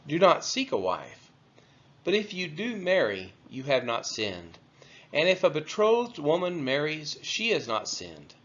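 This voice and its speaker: A man reading scripture aloud from a text, with measured, emphatic phrasing. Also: fairly high pitch, fairly bright tone, dull audio. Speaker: scripture reader.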